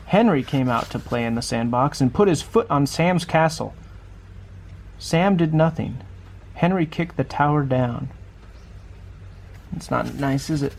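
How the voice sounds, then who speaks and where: A man's voice: a little high, creaky, slight background noise. A man reading a children's picture book aloud, adding small conversational asides as he goes. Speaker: storybook reader.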